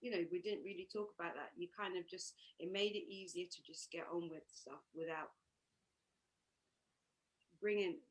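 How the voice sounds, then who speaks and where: A woman from Uganda, a yoga teacher who answers thoughtfully with many pauses and restarts. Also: fairly low pitch, steady tone. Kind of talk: interview answers.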